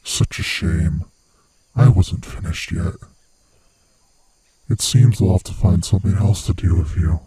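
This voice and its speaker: A man voicing a sinister, gloating monster who hunts human prey, with a husky, rasping voice and a slow, taunting manner, in a horror ASMR roleplay. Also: warm tone, slight background noise. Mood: mocking menace. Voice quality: husky, breathy, rasping low voice. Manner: slow, menacing, theatrically taunting.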